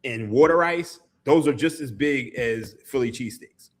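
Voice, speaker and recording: A man's voice: mid-range voice, moderate pace. A man, a podcast guest telling stories in casual chat, laughing and swearing freely. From Philadelphia, USA. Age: 30s. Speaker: podcast guest, sports writer.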